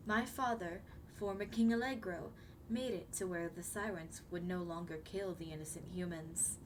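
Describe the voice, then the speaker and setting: A woman voicing a young siren queen, gentle, anxious and apologetic, speaking formally and hesitantly to the listener in an ASMR roleplay. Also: medium pitch, natural voice. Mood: hesitant, somber. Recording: clearly noisy background ambience.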